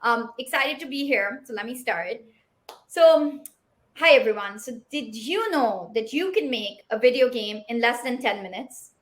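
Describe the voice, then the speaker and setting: A woman in her thirties from Pakistan, Pashto her first language, delivering an upbeat, rehearsed startup pitch with rhetorical questions. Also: animated, a little slow.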